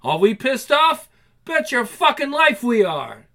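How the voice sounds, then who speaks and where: A man's voice: extremely high pitch, big pitch swings, unhurried, pushed and bright, pitched above the performer's own voice, full character voice. A man voicing a furious young male punk singer who sneers and swears at the listener. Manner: snarling, swearing, confrontational.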